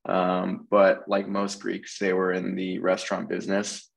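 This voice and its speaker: Young male guest, deep monotone with vocal fry, loose and relaxed. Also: a little slow. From Tampa Bay area, Florida. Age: 20s. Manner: relaxed, peppers speech with "like". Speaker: podcast guest. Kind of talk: casual interview chat.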